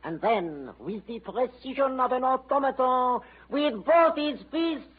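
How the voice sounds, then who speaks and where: A fretful French manservant. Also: male voice, very high-pitched, lively intonation, a little slow, husky, heavily acted. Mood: excited storytelling. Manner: theatrical, exclamatory laments. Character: fretful, excitable French manservant, loyal to his master. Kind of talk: 1940s radio drama acting. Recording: tinny old radio broadcast with music.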